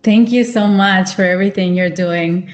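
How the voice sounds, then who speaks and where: A woman in her thirties speaking in a measured, deliberate way as a host introducing and interviewing on a video call. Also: medium pitch, fairly even intonation. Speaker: host, clinical psychologist. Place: small indoor home office, video call. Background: music.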